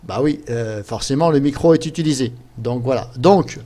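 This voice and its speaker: A man presenting a casual screen-capture software tutorial, explaining things step by step in an informal, chatty way. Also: medium pitch, moderate pace. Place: voice-over recorded at a computer during screen capture.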